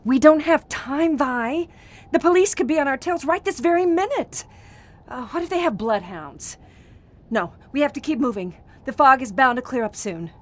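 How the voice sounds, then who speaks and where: An actress playing a determined, bossy bank teller on the run in a period suspense audio drama, urgent and taking charge. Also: female voice, high voice, animated, normal speed.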